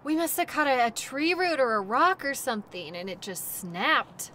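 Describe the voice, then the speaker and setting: A woman playing a cheerful, reassuring dog-sled musher in a naturalistic audio roleplay, chatting gently to her passenger. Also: high-pitched, big pitch swings, moderate pace, slight background noise, full character voice. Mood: startled, concerned. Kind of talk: audio roleplay, naturalistic second-person acting.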